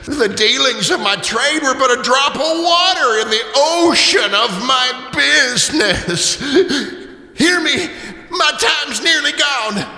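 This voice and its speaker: A tormented male ghost in a radio play, with a very high, piercing voice. He draws his words out slowly in an eerie, mournful wail. Everything rings in long cavernous reverb. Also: expressive, sharp tone, soft background music, heavily acted. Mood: impassioned. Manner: slow, drawn-out, wailing declamation with eerie cackles. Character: tormented, wailing male ghost of a dead miser's partner. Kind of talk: radio theater acting.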